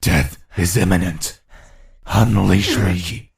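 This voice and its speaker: A man voicing a sinister dark power in an audio drama, commanding and coaxing toward vengeance. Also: low-pitched, takes it slowly, creaky, exaggerated voice.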